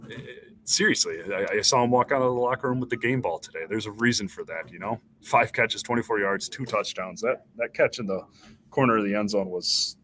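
A man in his thirties talks through a postgame recap over a headset mic, loose and conversational, firing off numbers and restarting sentences. His voice sits a little low but sounds bright and forceful. Faint room ambience sits behind him. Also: a little fast, slight background noise. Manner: conversational, rattling off stats with restarts. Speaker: sports beat reporter. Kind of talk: casual postgame live stream. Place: small indoor room.

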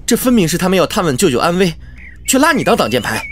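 A man playing a loyal, impulsive young noble cousin in a historical audio drama, earnest and expressive. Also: high voice, quick pace, a little hiss or hum. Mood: alarmed, pleading.

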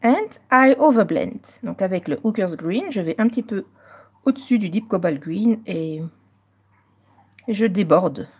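A Belgian woman hosting a live coloring stream, chatting casually and moving between French and slightly halting English. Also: mid-range voice, expressive, slightly rough.

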